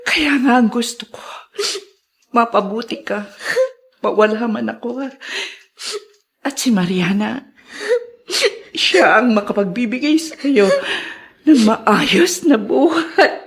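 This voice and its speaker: A woman's voice: on the high side, lively intonation, takes it slowly, pauses often. An actress playing an emotional, anguished mother in a Filipino radio drama. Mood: sorrowful farewell. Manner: dramatic, anguished, breaking into sobs. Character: guilt-ridden ailing mother, emotional and remorseful.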